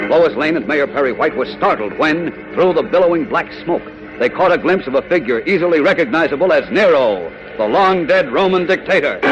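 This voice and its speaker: An American male announcer narrates in grand, suspenseful 1940s radio style. His voice sits a little high for a man, over loud music. The recording is muffled and vintage. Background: loud dramatic music.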